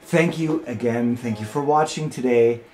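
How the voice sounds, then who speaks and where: A man's voice: medium pitch, moderate pace, darkish tone, soft background music. A man presenting and narrating a historical newsreel. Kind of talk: scripted historical narration with informal thanks and appeals.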